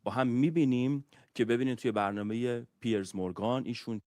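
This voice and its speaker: A man with a mid-range voice presents in a conversational broadcast style. He introduces his guest at a very rapid pace, words coming quickly one after another. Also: mid-range voice, a little mellow.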